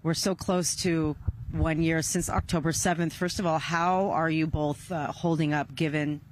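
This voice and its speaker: A woman conducting a live news interview on location outdoors, with halting, hesitant phrasing. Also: low voice, steady tone. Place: outdoors near a rural kibbutz. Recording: noisy outdoor field recording.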